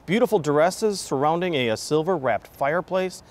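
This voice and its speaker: An American man in middle age presents and interviews for television. His voice sits a little high for a man, and he speaks in a conversational presenter's style. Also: lively intonation, normal speed. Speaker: TV host and interviewer. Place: large exhibition hall, indoors.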